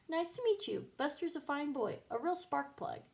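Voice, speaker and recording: A woman voicing a tactful, encouraging baseball coach with measured manners in a children's storybook. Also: sits high, big pitch swings, creaky, voice pushed up, exaggerated voice. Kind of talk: children's storybook read-aloud.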